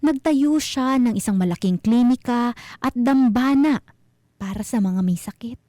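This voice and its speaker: A woman narrating a scripted horror story for radio, with deliberate, suspenseful phrasing. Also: a little high, dark tone, no room sound, clean studio sound.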